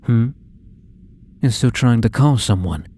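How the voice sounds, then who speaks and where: An actor playing an obsessive, possessive young male stalker in an intimate ASMR roleplay, coaxing softly with falsely tender menace. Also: mid-range voice, lively intonation. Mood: cold, possessive. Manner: soft, coaxing, menacing, slow and deliberate.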